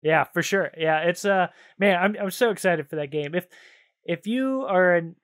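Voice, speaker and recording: A man's voice: high-pitched, normal speed, a bit bright. A man hosting a video game podcast, chatting casually and enthusiastically in informal slang. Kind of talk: casual chat about video games.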